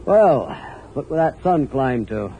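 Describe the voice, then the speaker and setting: An actor playing a folksy but sinister New England hotel keeper with a clipped drawl, in a 1940s radio thriller style. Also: male voice, mid-range voice, big pitch swings, slow, creaky, a little hiss or hum, full character voice. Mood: folksy, courting. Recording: muffled old radio recording.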